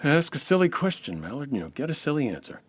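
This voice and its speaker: A man's voice: fairly high pitch, big pitch swings, quick pace, slightly rough. A man playing an easygoing but suspicious homicide inspector in a comedy audio drama, talking in casual, clipped detective slang. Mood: casual, probing. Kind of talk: comedy audio drama acting, hard-boiled 1940s cop.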